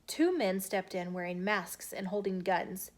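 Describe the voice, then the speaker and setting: A woman storyteller reading a children's chapter book aloud in an expressive, emphatic way. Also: medium pitch, unhurried. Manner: animated, emphatic phrasing with acted dialogue. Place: inside a bookshop. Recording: noticeable room noise behind the voice.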